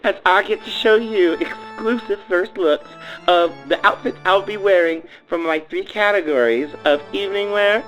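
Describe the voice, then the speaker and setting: A man's voice: high-pitched, normal speed, hardly any pauses, a bit bright, poor audio. A man voicing a vain, needy young beauty queen in a theatrical comedic radio play, swinging from sweet to hysterical. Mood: chatty. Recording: music behind the voice.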